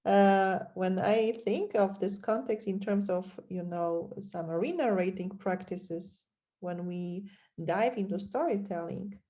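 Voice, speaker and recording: Slow-talking woman host, mellow voice. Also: medium pitch. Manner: deliberate, many uhs. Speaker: podcast host. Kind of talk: interview conversation.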